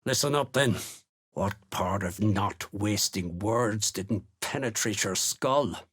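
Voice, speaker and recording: A man playing a gruff, curt duergar warrior and slave-hunter in a video game, threatening and gravelly. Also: fairly low pitch, slow pace, a bit bright, exaggerated voice. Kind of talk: video game character voice lines.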